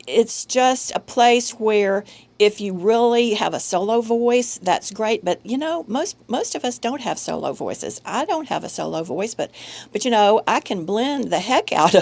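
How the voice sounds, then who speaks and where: A woman's voice: medium pitch, a little mellow. Middle-aged woman, radio guest, earnest and friendly, laughs easily. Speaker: guest, chorus member. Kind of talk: casual interview. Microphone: studio microphone.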